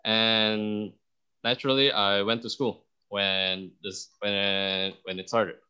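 A young man from Indonesia telling a story as a podcast guest, animated and full of restarts. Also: lowish voice, takes it slowly, clean tone, lo-fi sound. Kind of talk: storytelling in an interview.

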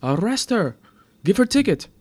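A man voicing an irate male subway attendant who shouts accusations in broken grammar in a narrated story. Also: sits high, big pitch swings, moderate pace, slightly rough, no room sound, pitched above the performer's own voice, heavily acted. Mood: outraged.

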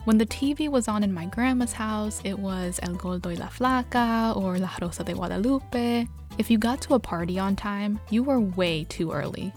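A young woman from New York narrates memories of her upbringing in a plain, reflective voice of middle pitch. Her delivery is scripted and even. Soft music plays faintly underneath. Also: normal speed, hardly any pauses. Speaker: journalist and podcast apprentice.

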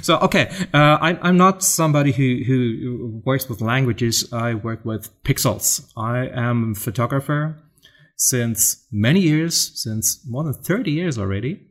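A middle-aged man with a German accent talks in a relaxed interview, his voice sitting in an ordinary middle register. He stumbles and repeats words as he hunts for the right English phrasing. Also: medium pitch, unhurried. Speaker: guest, photographer. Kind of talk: casual interview chat.

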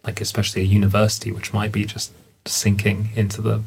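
A man's voice: on the low side, completely flat delivery, quick pace, gravelly, a little mellow, a little hiss or hum. A man speaking as a panel guest, reflective and searching, with casual fillers. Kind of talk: panel discussion.

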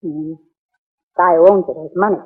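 Tinny-sounding, husky, hard-edged 1940s radio dame. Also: female voice, sits low, normal speed. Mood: curt, insistent. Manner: terse, insistent, commanding. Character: determined, secretive, hard-edged woman seeking protection. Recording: tinny, band-limited 1940s radio recording.

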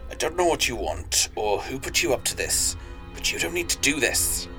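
An actor playing a weary, gentle older man held hostage in an audio drama, measured and wryly understated. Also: mid-range voice, big pitch swings, music in the background. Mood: pleading.

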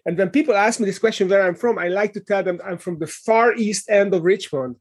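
A man's voice: high voice, fairly quick, husky. A middle-aged man with a Hungarian accent, a guest answering interview questions in a thoughtful way with many fillers and restarts. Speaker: guest, leadership coach and author.

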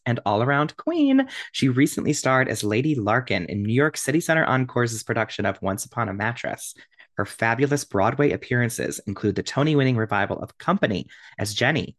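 A man from Ohio co-hosting a podcast, gushing and excitable, laughing often as he chats and reads out a guest introduction. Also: mid-range voice, no echo, studio quality. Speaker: podcast co-host. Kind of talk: casual chat, reading a guest introduction.

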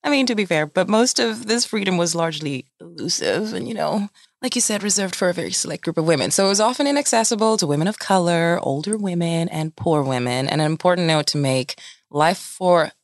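A woman's voice: fairly low pitch, animated, fairly quick, dry recording, studio quality. A woman in her thirties co-hosting a podcast, talking in a sassy, playful, slangy way. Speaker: podcast co-host. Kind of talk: casual chat.

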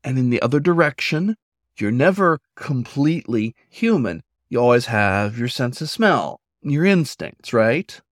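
A man's voice: medium pitch, big pitch swings, measured pace, dry recording, studio quality. A man voicing a nonbinary werewolf cook in a story, an earnest, emphatic explainer with a colloquial way of talking. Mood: earnest, hesitant.

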